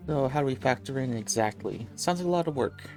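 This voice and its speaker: Male gameplay streamer: dry, low-key, conversational, clear game music underneath. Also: lively intonation, quick pace, a little mellow. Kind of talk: casual gameplay commentary, reading dialogue replies aloud.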